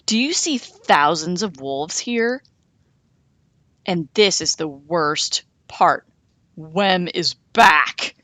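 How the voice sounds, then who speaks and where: A woman voicing a boastful, stubborn, quick-witted goose in a children's storybook. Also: fairly low pitch, animated, pushed and bright, no room sound, full character voice. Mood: earnest, scheming.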